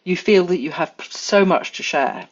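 A woman's voice: lowish voice, lively intonation, normal speed. Thoughtful English woman, dull lo-fi sound. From England. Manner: thoughtful, many fillers and restarts. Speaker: guest forager. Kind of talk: casual interview chat.

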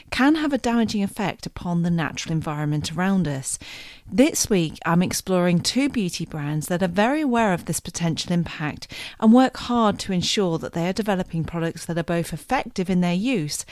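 A woman hosting a radio interview, measured and encouraging as she asks questions. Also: on the low side, a little mellow, no echo, clean studio sound. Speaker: radio show host.

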